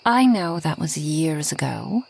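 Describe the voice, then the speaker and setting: A woman playing a reclusive, ageless forest witch in a soft ASMR roleplay, gentle and wry, often slipping toward a whisper. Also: low voice, very animated, unhurried, creaky, slightly rough, heavily acted, low-quality recording. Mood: soft, wistful. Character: reclusive, centuries-old kind but proud forest witch.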